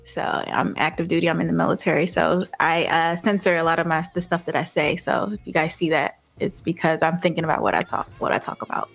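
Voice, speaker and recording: A woman's voice: low-pitched, steady tone, brisk, gravelly, few pauses. Guest co-host: American female, 30s, informal chat delivery, light music bed underneath. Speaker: podcast co-host appearing as guest, military servicewoman. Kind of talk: casual chat.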